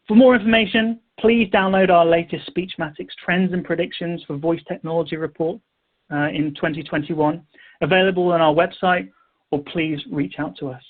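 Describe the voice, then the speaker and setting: Male presenter, somewhat high voice, polished scripted delivery, phone-quality audio. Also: fairly even intonation, normal speed. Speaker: webinar presenter. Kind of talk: prepared presentation wrap-up. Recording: tinny phone-like line.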